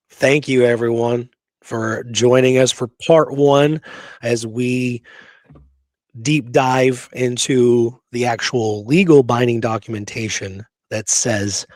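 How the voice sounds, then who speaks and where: A man's voice: medium pitch, slow pace, no echo. A man hosting a history discussion, talking informally with emphatic opinions. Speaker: host of a history show.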